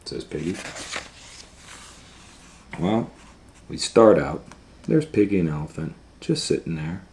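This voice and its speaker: A man hosting a storytime, reading a picture book aloud to children in an unhurried way with long pauses and hushed asides. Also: low voice, big pitch swings, a little hiss or hum. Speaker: storytime host and reader. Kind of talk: animated read-aloud for children. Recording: roomy, echoey room sound.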